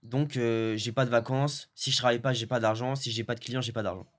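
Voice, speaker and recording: A man's voice: medium pitch, fairly quick. Young man, laid-back slangy chat. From French Guiana. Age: young adult. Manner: relaxed, slangy, many fillers and restarts. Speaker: video editor guest. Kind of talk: casual interview chat.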